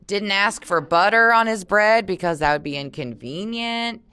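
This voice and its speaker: A woman reading aloud expressively as a teacher, pausing for casual discussion and guiding questions. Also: medium pitch, sharp tone, smooth. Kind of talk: audiobook-style read-aloud with casual discussion.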